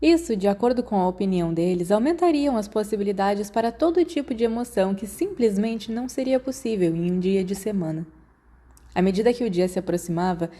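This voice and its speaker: Female Brazilian narrator for fan audiobook, soft-edged mellow tone, scripted storytelling delivery. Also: mid-range voice. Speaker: audiobook reader.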